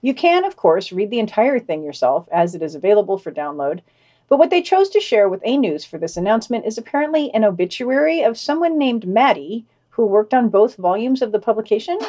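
A woman's voice: medium pitch, big pitch swings, a little fast, warm tone. A woman hosting a podcast, reading scripted news summaries and quotations with dry, wry commentary.

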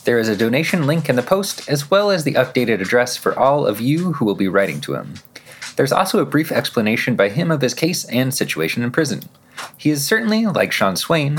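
Man reading news with very lively intonation. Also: mid-range voice. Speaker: podcast news reader. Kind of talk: scripted news reading with read-out quotations.

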